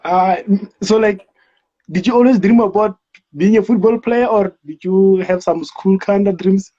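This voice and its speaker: A man's voice: sits high, measured pace, dark tone, dull audio. A man hosting an interview, talking in a casual, slangy, hyped-up way. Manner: slangy, hyped-up, says bro and like. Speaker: interview host.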